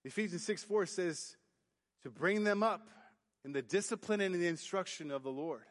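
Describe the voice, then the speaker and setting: A youth pastor preaching a sermon in church, booming then dropping to quiet emphasis. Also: male voice, moderate pace. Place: church sanctuary. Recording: long hall reverb. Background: hall reverb.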